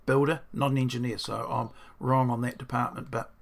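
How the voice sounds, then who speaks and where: A man, a builder, talking through his design in a casual diary-style video, with a croaky, hoarse voice, rambling and self-deprecating as he thinks aloud. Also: mid-range voice, flat delivery, a little fast.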